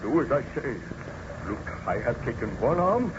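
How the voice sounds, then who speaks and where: An actor playing an obsessed, proud scientist in an old radio horror play, grandiose, melodramatic and commanding. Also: male voice, mid-range voice, very animated, normal speed, lightly acted. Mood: urgent, commanding. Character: obsessed male scientist, proud creator of a monster. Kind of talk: old-time radio horror drama acting. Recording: dull, noisy vintage radio recording.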